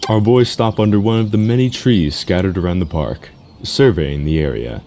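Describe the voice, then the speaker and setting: A man playing a calm storybook narrator, reading scripted scene-setting for a game cutscene. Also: deep voice, normal speed, natural voice. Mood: measured. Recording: faint background noise and ambience.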